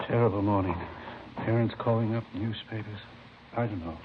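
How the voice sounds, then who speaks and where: An actor playing a worried, harried high school official in a vintage radio drama. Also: male voice, fairly even intonation, normal speed, natural voice. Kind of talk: 1950s radio drama acting. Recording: noisy muffled vintage radio recording.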